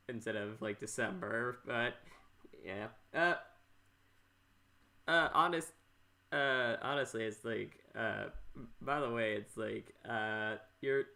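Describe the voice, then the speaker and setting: Stammering man with a bright, forceful voice. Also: fairly low pitch, vocal fry. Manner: stammering, repeats phrase starts. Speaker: podcast host. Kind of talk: casual interview chat.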